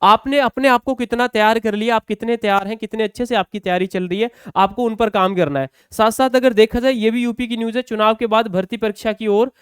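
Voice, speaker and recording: A man's voice: high-pitched, steady tone, fairly quick, hardly any pauses, clear, resonant tone, dry recording, studio quality. A man explaining exam news to students as a coaching teacher, conversational and often checking in with short tag questions.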